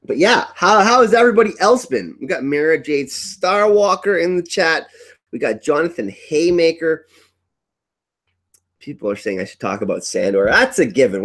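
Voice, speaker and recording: A man's voice: on the high side, fairly bright tone. A congested young man with a cough, hosting a casual livestream from home, upbeat and rambling as he greets his chat. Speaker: livestream host. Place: at home indoors.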